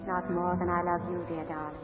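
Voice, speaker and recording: A tender, pleading gentlewoman acted by a woman in an old radio melodrama, heard as in a dream. Loud music covers the muffled recording. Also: on the low side, little pitch movement, brisk, natural voice. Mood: tender, hushed.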